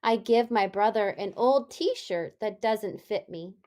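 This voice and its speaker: A woman elementary school teacher giving a read-aloud lesson for young children, animated and deliberate, often putting questions to them. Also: medium pitch.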